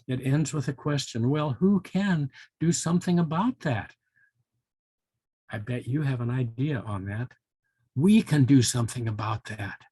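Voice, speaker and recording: A man's voice: medium pitch, animated, measured pace, warm tone, breathy edge, dry recording. An older man reading and chatting to young children in a folksy, musing way. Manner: folksy, musing, with interjections like hmm and well. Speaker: volunteer storybook reader for children.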